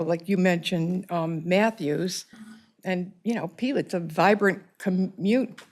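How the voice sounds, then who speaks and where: Loud woman council member, slow halting delivery, lower pitch, earnest and insistent. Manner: halting, many restarts and fillers, earnest. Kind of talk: council debate. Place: council chamber.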